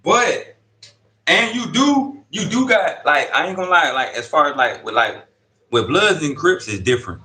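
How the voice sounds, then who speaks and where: Man in his thirties, bright voice. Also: a little high, sing-song, normal speed. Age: 30s. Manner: street slang, keeps asking "you see what I'm saying". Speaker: incarcerated interviewee. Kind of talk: casual conversational storytelling. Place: prison dorm.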